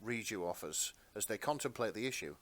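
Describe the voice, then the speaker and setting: A man's voice: on the low side, animated, fairly quick, a bit bright. A man reading scripted narration for a fantasy fan story in a dramatic storytelling style.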